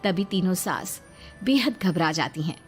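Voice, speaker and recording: A woman's voice: lowish voice, animated, a little slow, soft background music. A woman reading scripted narration for a cartoon moral story.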